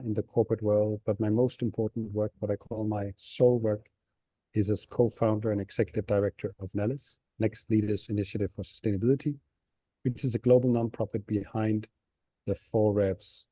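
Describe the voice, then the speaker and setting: Middle-aged man from Denmark, webinar talk, dark mellow tone, slightly husky, dry sound, explanatory. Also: on the low side, no room sound, low-quality recording. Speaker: nonprofit executive director giving a talk. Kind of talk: webinar presentation with slides. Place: seated on stairs of a train station.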